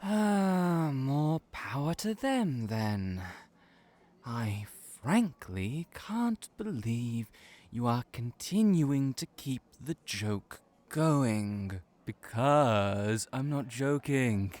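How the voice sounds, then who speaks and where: A man's voice: medium pitch, expressive, drawn-out words, a little mellow, dry recording. A man playing a teasing young ram hybrid who works in a cafe, with playful, needling banter in an audio roleplay.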